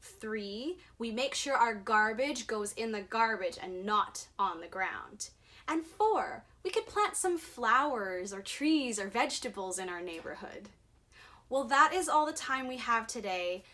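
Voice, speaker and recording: A woman leads a children's storytime with an expressive, emphatic delivery. Her voice is fairly high and very bright, and she tends toward an unhurried pace, addressing young listeners directly. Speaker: performer reading a children's story.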